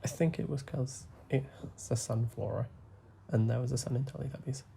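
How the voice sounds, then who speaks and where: Soft-spoken young man, gravelly. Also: medium pitch, fairly even intonation, slight background noise. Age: young adult. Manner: soft, deliberately hushed talk with frequent fillers. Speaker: gaming YouTuber. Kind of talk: rambling gameplay commentary.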